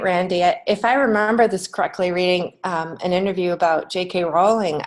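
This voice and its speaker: A woman speaking as a podcast guest in a conversational interview, earnest, reflective and emphatic. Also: medium pitch. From United States. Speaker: podcast guest, children's author and creative writing teacher.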